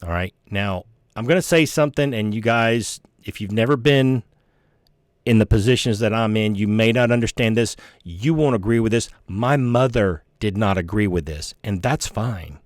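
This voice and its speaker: A man's voice: lowish voice, normal speed, no echo, clean studio sound. A man giving explanatory commentary to viewers in an emphatic, instructive, folksy way, like a former officer teaching from experience. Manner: emphatic, instructive, checks understanding with "okay?".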